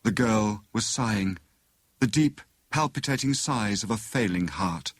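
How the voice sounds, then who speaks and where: A man with a British accent narrating a detective story in a measured, dramatic storytelling manner. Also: on the low side, expressive, dry recording. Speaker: audiobook narrator.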